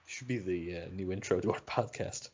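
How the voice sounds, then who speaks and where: Deadpan guy chatting on a comedy podcast, deeper voice, slightly dull audio. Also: moderate pace, noisy background. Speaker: podcast co-host. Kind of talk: casual comedic podcast chat.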